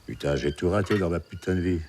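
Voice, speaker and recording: An actor playing a bitter, defeated man who speaks gruffly and bluntly in a film scene. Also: low-pitched, fast. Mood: grim. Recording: loud clicking noise behind.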